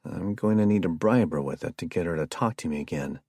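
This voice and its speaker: A man voicing an excitable, geeky young man who rambles in a high, theatrical voice. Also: fairly quick, dry recording. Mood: rambling.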